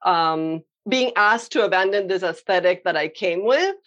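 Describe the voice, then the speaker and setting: A woman's voice: on the low side, lively intonation, moderate pace, fairly bright tone, lo-fi sound. A young adult woman from Colombia, a painter answering interview questions in a thoughtful way, with many fillers and restarts. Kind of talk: reflective conversational interview.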